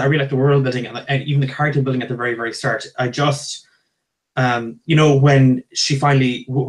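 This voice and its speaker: A man, talking emphatically and haltingly in a casual book club chat on a video call. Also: medium pitch. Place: small home room, indoors. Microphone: earphone headset on a video call.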